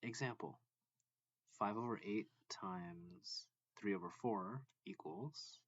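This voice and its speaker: Slow, low-voiced man reading a lesson. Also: breathy edge, no echo, highs cut off. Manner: deliberate, broken into short phrases. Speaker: math reading tutor. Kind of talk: slow read-aloud of a textbook lesson.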